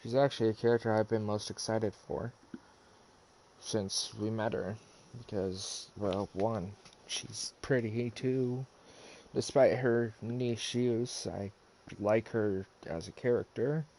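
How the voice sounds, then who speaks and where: A man doing casual live game stream commentary in a halting, rambling way. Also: on the low side, fairly even intonation, warm tone, low-quality recording. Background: video game music.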